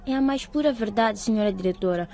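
A woman's voice: medium pitch, fast talker, warm tone, some chatter behind, natural voice. A woman acting an earnest, anxious teenage schoolgirl in a radio drama. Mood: worried.

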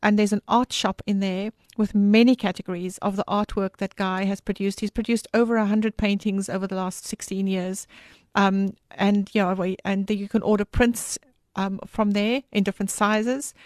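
A woman whose first language is Afrikaans, a guest author explaining her work in a slightly halting way. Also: medium pitch, little pitch movement, no room sound, clean studio sound. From South Africa.

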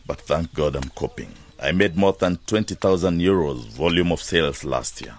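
A man's voice: sits low, moderate pace, close to an everyday voice. An actor playing a proud, hard-headed farmer and businessman in a radio drama, self-assured and matter-of-fact.

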